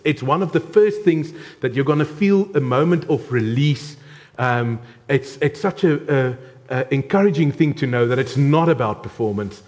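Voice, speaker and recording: A man's voice: mid-range voice, darkish tone. A man in his thirties with a South African accent, preaching a conversational sermon to a church congregation with frequent ums. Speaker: guest preacher.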